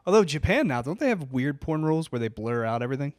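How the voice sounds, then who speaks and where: Blunt male podcast co-host, smooth dry voice. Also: fairly high pitch, very animated, quick pace, dry recording. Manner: blunt, crude, opinionated jokes. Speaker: podcast co-host reacting to the story. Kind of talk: casual comedic podcast chat.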